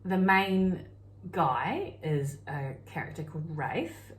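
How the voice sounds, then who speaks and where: A woman with a New Zealand accent, co-hosting a craft podcast, telling stories in a lively, exclaiming way. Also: sits low, slow pace, reverberant. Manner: animated storyteller, laughs and exclaims often. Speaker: podcast co-host. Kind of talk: casual chat.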